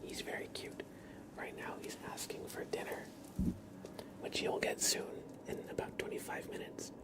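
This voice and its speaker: A man hosting an ASMR livestream in a soft, hushed whisper and low murmur, gently and unhurriedly talking to his viewers. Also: normal speed, low-quality recording. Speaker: livestream host.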